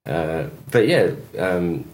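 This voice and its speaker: A man's voice: deep voice, lively intonation, slow pace, a little mellow. A man from Australia, partly raised in England, casually recounting memories as an interview guest. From born in Perth, Australia, partly raised in England. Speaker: interview guest, touring drummer.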